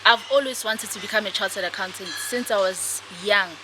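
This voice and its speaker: Young woman guest, soft-spoken and hesitant, mid-pitched, noisy podcast audio. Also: brisk. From South Africa. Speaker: guest, author and speaker. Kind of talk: casual interview answers.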